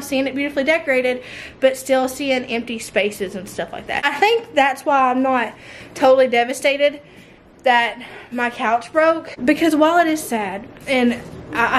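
High-pitched woman, chatty. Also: slight background noise. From United States. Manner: chatty, rambling. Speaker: homemaking vlogger. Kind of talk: casual chat to camera. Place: indoors, open-plan living room and kitchen of a mobile home. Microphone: handheld camera at arm's length.

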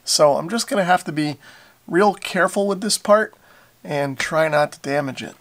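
A man's voice: fairly high pitch, creaky. A man in his forties giving casual how-to narration as a repair channel host.

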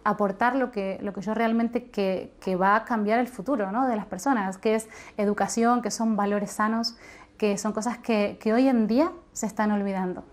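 A young woman from Argentina, answering interview questions softly and reflectively, with frequent fillers. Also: medium pitch, fairly even intonation, normal speed. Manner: soft, reflective, with hesitant fillers and tag questions. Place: indoor room with a painting, seated interview.

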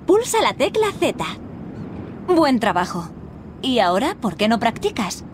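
An actress playing a kindly, encouraging fairy guide who gives patient instruction in a video game. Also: female voice, on the high side, very animated, a little slow, noisy background. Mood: instructive. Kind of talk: scripted game tutorial dialogue.

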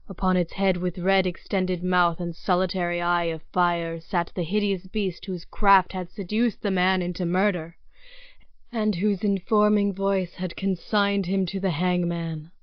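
A woman's voice: on the low side, dry recording, highs cut off. A woman narrator reading scripted material for an audio drama podcast. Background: prominent music.

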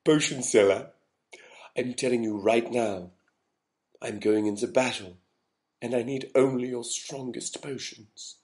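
A man's voice: medium pitch, measured pace, long pauses, darkish tone, close to an everyday voice. A man voicing a desperate, insistent knight in a comic cartoon sketch, pleading and indignant. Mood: firm.

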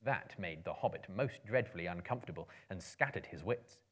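A man in his thirties reading a story aloud dramatically, in a theatrical, expressive storyteller's manner. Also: low voice, brisk, slightly rough. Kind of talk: dramatic book reading to a live audience. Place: indoor room with bookshelves, small live audience.

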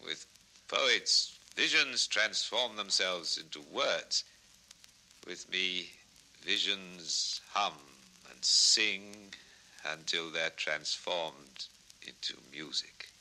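An actor playing a dreamy, impassioned composer who loves nature, theatrical and deliberate, on a 1960s children's record. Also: male voice, deep voice, sharp tone, exaggerated voice, low-quality recording. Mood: dreamy, rapt. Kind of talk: 1960s children's record radio-play acting.

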